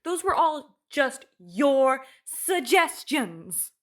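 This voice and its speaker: Woman playing high-voiced, exasperated female survivor. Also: very animated, drawn-out words, a bit bright, heavily acted. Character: firm, exasperated woman among bickering survivors. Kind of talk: radio play acting.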